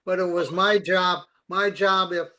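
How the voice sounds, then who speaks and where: A man telling a story in a casual group chat on a video call, starting haltingly and repeating words. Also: high voice, fairly even intonation, sharp tone. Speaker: participant in a technical session.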